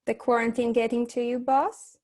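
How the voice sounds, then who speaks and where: A woman's voice: on the high side, flat delivery, moderate pace, a little mellow, natural voice. A woman playing a sassy, no-nonsense private eye's assistant in a humorous noir radio play, dry and unimpressed. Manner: dry, unimpressed, matter-of-fact.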